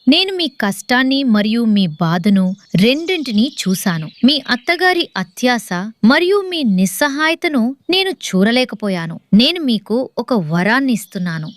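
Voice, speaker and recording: Woman as a high, expressive motherly earth goddess. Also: measured pace, no room sound. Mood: comforting. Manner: solemn, comforting. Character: benevolent female earth goddess spirit, motherly. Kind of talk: children's animated story dialogue.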